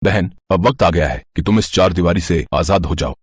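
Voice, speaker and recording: A man's voice: deep voice, brisk, breathy edge, dry recording, studio quality. A man doing earnest young prince brothers. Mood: eager. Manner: earnest, determined.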